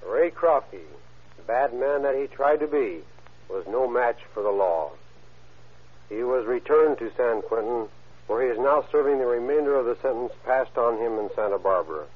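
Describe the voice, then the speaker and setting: A man's voice: mid-range voice, lively intonation, normal speed, husky. An American police chief, a man, reading solemn, scripted moralizing remarks stiffly on 1930s radio. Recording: tinny, phone-like old radio broadcast.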